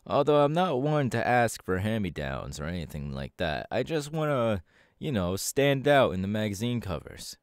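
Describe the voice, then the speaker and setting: A man voicing a laid-back, cocky young winged superhero in a casual ASMR audio roleplay, talking slangily with hesitant pauses. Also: on the low side, big pitch swings, no echo, clean studio sound. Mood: musing, easygoing.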